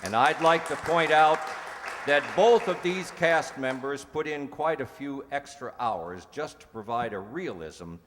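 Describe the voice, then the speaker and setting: A man's voice: mid-range voice, expressive, unhurried, a bit bright, some chatter behind. A man acting as master of ceremonies, making theatrical, jocular curtain-call introductions to a live audience. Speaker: troupe announcer and master of ceremonies. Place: hall with an audience. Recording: echoey hall, noisy live audience.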